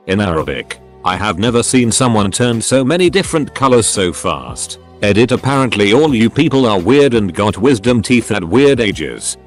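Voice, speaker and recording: A man narrating Reddit posts aloud, reading the stories and their edit notes in an even, uninvolved way. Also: fairly low pitch.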